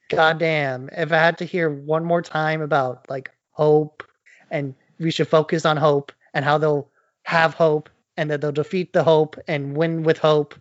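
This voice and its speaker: A man with a slightly high voice gives blunt, opinionated takes as a podcast co-host in casual chat. The recording is dry and a little dull. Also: no room sound. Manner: blunt, sweary venting.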